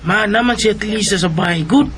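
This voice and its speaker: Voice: medium pitch, brisk, noisy background, lightly acted. A performer playing a questioning young child in a radio drama, with a Cebuano Filipino accent. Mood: curious, obedient. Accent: Filipino, Cebuano-speaking.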